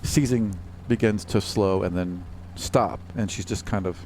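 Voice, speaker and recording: A man narrating a tabletop role-playing game as game master, halting and descriptive with casual asides. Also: on the low side, darkish tone, a little hiss or hum.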